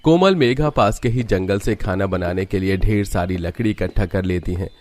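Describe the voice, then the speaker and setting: Low-voiced man narrating a dramatic story. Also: normal speed, a little mellow. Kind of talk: scripted Hindi cartoon story narration.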